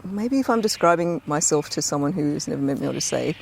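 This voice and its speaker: A woman's voice: sits low, animated, fast, gravelly, low-quality recording. Aussie woman chatting, kinda rambly, lots of ums and laughs, bit of faint hiss behind. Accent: Australian. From Australia. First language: Cantonese. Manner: rambling, hesitant, laughs often. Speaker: guest. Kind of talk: casual conversation.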